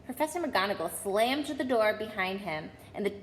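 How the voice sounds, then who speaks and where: A woman's voice: mid-range voice, animated, normal speed. Woman storyteller in an echoey hall. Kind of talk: reading a children's novel aloud. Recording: echoey hall, faint background noise.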